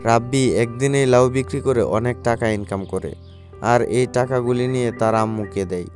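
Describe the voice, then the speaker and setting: Male cartoon storyteller over soft guitar music. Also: medium pitch, moderate pace. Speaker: narrator. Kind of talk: scripted storybook narration for a cartoon. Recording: faint music behind the voice.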